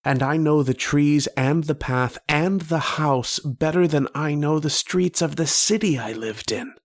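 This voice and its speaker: A man playing a haunted, wistful narrator of a recurring dream in a radio drama, speaking poetically with long pauses. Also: on the high side, dry recording, clean studio sound. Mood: weary, bewildered. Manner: slow, poetic, brooding delivery with long pauses. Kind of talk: dramatic first-person radio horror narration.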